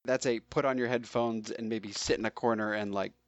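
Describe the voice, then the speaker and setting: Male podcast guest, casual talk, medium pitch, dry room, slightly lo-fi sound. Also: steady tone, a little fast, dry recording. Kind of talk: casual chat.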